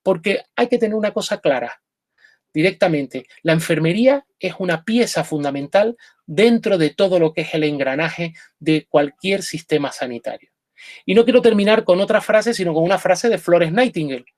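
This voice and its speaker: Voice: medium pitch, animated, normal speed, darkish tone. Earnest lecturer who often restarts sentences. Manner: earnest, repeats "directamente" often, frequent restarts. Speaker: invited lecturer on nursing history. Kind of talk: live public lecture with slides. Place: talk event in a pub.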